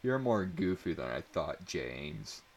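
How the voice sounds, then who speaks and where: A man's voice: deep voice, very animated, slow pace, gravelly, exaggerated voice. A man voicing a playful, easily amused teenage schoolgirl in a dramatic story reading. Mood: amused, teasing.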